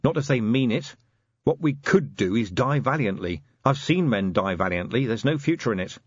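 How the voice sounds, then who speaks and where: A man voicing a weary, gruff police commander in an audiobook, terse, dry-humoured and commanding. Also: mid-range voice, lively intonation, normal speed, no echo, lo-fi sound. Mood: wry, terse.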